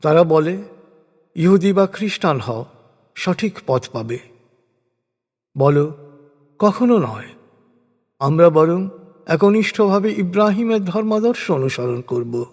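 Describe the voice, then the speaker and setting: A man with a fairly high voice reads sacred text very slowly and solemnly. Each phrase is deliberate and measured, carried on a long reverb. The delivery is a scripted religious recitation. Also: lively intonation, a little mellow.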